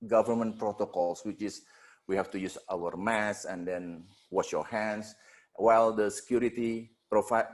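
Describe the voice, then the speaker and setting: A man speaking as a security panelist on a webinar video call, halting with many fillers and restarts. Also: lowish voice.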